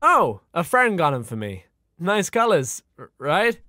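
A man acts out a nervous young cartoon son. He is hesitant and evasive, with lively pitch and a dry, close sound. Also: a little slow, dry recording, heavily acted. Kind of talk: comic dub acting.

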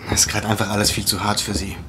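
A man's voice: lowish voice, flat delivery, fast talker, background noise, close to an everyday voice. An actor playing a troubled, determined young man with a brooding, insistent way of talking, in an audio drama. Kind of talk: naturalistic audio drama acting.

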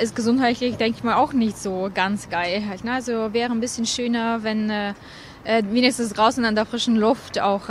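A young woman answers casually in a street interview, in colloquial wording. Her voice is fairly high and faint traffic can be heard. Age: young adult. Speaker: interviewed passer-by. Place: busy city street outdoors. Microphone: interviewer's handheld microphone. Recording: street traffic noise behind.

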